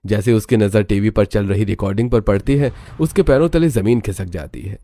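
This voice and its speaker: Low, dry male story narrator. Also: a little fast, mellow, no echo. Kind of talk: scripted dramatic story narration.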